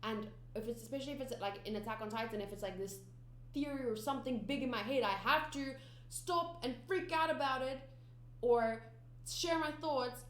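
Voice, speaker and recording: A woman's voice: on the high side, moderate pace, a bit bright. A young South African woman whose first language is Afrikaans, chatting casually to her viewers in a rambling way with many fillers and restarts. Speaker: anime reaction channel host. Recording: echoey room with faint background noise.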